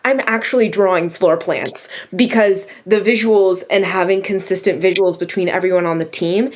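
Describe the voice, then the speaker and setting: A woman's voice: mid-range voice, steady tone, normal speed, a little hiss or hum. A woman, the writer of a radio drama, talking casually in an interview and often laughing. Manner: chatty, laughing, acts out quoted exchanges. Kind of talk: interview conversation.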